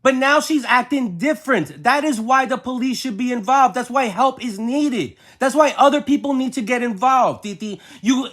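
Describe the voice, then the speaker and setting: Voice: medium pitch, fairly bright tone. A person arguing on a family phone call, insistent, exasperated and repeating points over and over. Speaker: nephew or niece on a phone call with an aunt.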